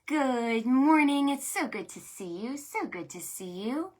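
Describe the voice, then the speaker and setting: A woman hosting a preschool storytime, speaking to small children in an animated, sing-song, exaggerated way. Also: high voice, measured pace. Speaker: children's librarian, storytime host.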